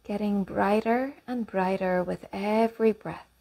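Woman, meditation guide, slow pace, mid-range pitch, calm instruction, long pauses, affirmations. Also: vocal fry.

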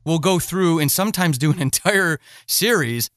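Male interviewer, fairly high voice, earnest and conversational, close dry recording. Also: expressive, moderate pace, fairly bright tone, dry recording. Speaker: podcast host and interviewer. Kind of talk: casual chat interview.

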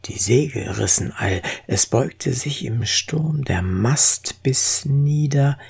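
Slow, husky, breathy poetry reader. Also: mid-range voice. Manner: solemn, expressive, deliberate phrasing. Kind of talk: dramatic poetry recitation.

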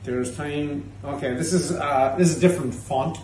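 A man's voice: on the high side, moderate pace, darkish tone, far from the mic. A man in his thirties with a Cork Irish accent, hosting a pub tasting show in cheeky, teasing banter. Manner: cheeky, teasing, swears freely. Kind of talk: casual banter while tasting drinks. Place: indoor pub bar. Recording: echoey pub room with faint noise.

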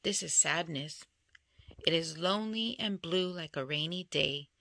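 Woman, lowish pitch, creaky edge, expressive children's storybook read-aloud. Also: a little slow, slight background noise. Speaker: storybook reader.

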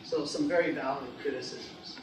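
Male academic presenter, middle-aged, slightly higher voice, taped from the back of the room. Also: fairly even intonation, music in the background. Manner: measured, many "uh" pauses. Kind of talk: conference research talk. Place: lecture room with projector screen. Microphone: far camera. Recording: echoey room from far off.

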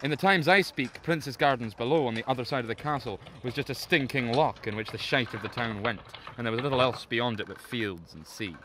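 A man's voice: medium pitch, brisk, few pauses, a bit bright, slight background noise, natural voice. A man playing a grim ghostly tour guide, narrating in a sinister, theatrical storytelling style. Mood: grim, wry. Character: grim ghostly guide to old Edinburgh's bloody past.

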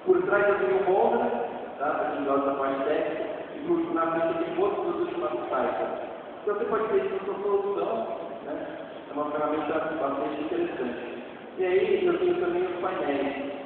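A man's voice: sits high, wildly swooping pitch, a little fast. A Brazilian man giving an informal conference talk and live demo, speaking conversationally and often adding short tag questions to check for agreement. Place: stage of a large dark auditorium. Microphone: distant camera in the audience. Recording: echoey, noisy hall, tinny, from far off.

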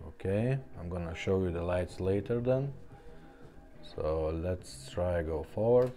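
A man giving a casual, unscripted product demonstration in a halting, low-key way, explaining step by step. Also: dark tone. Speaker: presenter demonstrating a restored car radio.

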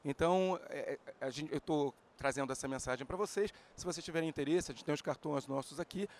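A man in his forties hosting a panel, speaking calmly and steadily into a handheld mic in a large event hall. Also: a little fast. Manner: calm, steady, pitching a project. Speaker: panel host. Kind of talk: presenting talk.

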